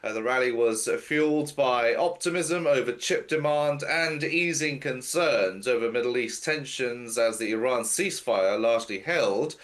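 A man with a blocked nose briefing market and world news over a video call, steady with frequent fillers. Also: mid-range voice, normal speed, few pauses. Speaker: news commentator.

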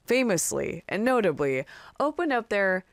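An American woman in her thirties hosting a radio show, bubbly and quick to laugh. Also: mid-range voice, sing-song, no room sound, studio quality. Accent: American English. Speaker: radio host. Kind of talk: casual radio chat.

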